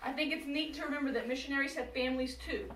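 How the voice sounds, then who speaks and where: A woman teaching children in a classroom, upbeat and lively as she speaks to the kids. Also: sits high, very fast. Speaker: children's music and Sunday school teacher. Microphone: camera across the room. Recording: roomy, distant classroom sound with faint noise.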